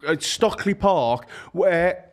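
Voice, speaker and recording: The fairly high-voiced man at a podcast table who keeps countering his mates' opinions. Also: lively intonation, takes it slowly. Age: young adult. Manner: argumentative, emphatic. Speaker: football podcast panellist. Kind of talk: casual opinionated debate. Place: small studio, indoors. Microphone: close podcast microphone on table stand.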